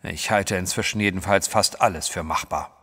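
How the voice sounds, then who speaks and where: An actor playing a composed, resolute gentleman adventurer in an audio drama, speaking with emphatic solemnity. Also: sits low, normal speed, breathy edge, long reverb tail. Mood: composed, reasoning. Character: resolute, level-headed gentleman adventurer hero.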